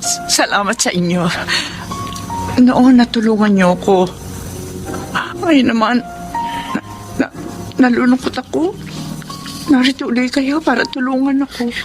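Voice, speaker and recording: A woman's voice: fairly high pitch, measured pace, long pauses, music in the background, natural voice. A woman playing a wounded, betrayed lady in a Tagalog radio melodrama. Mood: sad, grateful. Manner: emotional, dramatic delivery. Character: betrayed emotional well-off woman, tender but wounded.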